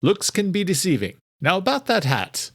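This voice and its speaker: Man playing a genteel elderly doctor, raised pitch. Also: wildly swooping pitch, normal speed, a bit bright, dry recording, studio quality, heavily acted. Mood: wry, reassuring. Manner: genteel, reassuring, chatty. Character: kindly elderly male doctor, wry and gently cheerful.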